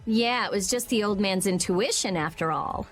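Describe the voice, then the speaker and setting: A woman's voice: mid-range voice, normal speed, lightly acted. An actress playing a cool-headed, caring young woman adventurer in a video game, with a frank delivery. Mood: matter-of-fact. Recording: music under the voice.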